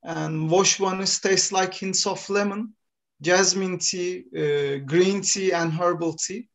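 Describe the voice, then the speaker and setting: A man's voice: sits high, fairly even intonation, slow. A man from Turkey, a coffee roaster, hosting a live-stream interview and asking questions in careful English with fillers. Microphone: video call.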